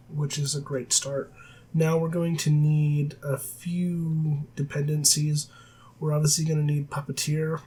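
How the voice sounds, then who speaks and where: Raspy man with a cold explaining code. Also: a little high. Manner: relaxed, many filler ums and self-corrections. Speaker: programming tutor. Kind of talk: screen-recorded coding tutorial. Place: at a desk, voice-over of screen capture. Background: faint keyboard typing.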